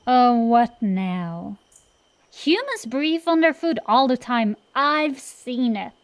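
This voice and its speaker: Woman acting a dragon girl sorceress, fantasy roleplay audio, higher-pitched, tomboyish, cocky, blustering, tsundere banter. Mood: flustered, bossy. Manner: sassy, slangy, defensive, blustering. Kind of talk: acted roleplay ASMR, bantering monologue to the listener.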